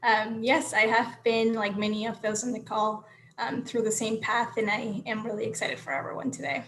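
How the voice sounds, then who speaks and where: A woman's voice: a little high, normal speed, fairly bright tone, poor audio. A young woman from Peru giving an earnest, friendly keynote speech over a video call. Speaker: keynote speaker.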